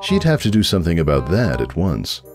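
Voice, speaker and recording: A man's voice: sits low, huge pitch swings, a little fast, faint music behind. A man reading a scripted comic story as narrator in a wry, dramatic way. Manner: wry, theatrical. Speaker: narrator, fanfiction reader.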